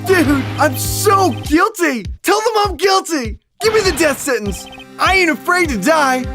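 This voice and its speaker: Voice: very high-pitched, lively intonation, measured pace, music in the background. A performer playing a melodramatic, distraught young male murder defendant in a video game fandub, wailing and overwrought. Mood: frantic.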